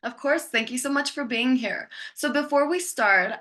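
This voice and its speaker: Bright-voiced woman hosting a podcast. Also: high voice, normal speed. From Mexico. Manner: warm-up chatter with lots of "like", laughs easily. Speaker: podcast host. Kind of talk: casual interview hosting.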